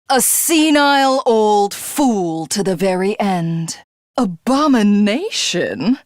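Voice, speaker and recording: Video game villainess: pompous would-be goddess, formal and theatrical, unhurried pace, very dry recording. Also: female voice, mid-range voice, no echo. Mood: scornful. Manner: pompous, formal, grandiose, condescending. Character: haughty female alien warlord craving godhood.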